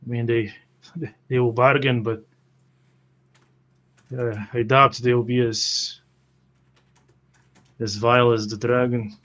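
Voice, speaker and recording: A man playing a brooding, bearded fantasy adventurer in a tabletop game, muttering haltingly and thoughtfully. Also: mid-range voice, little pitch movement, fairly bright tone.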